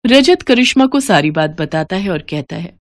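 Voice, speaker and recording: A woman's voice: fairly low pitch, animated, moderate pace, no room sound. A woman narrating a scripted story as a storyteller.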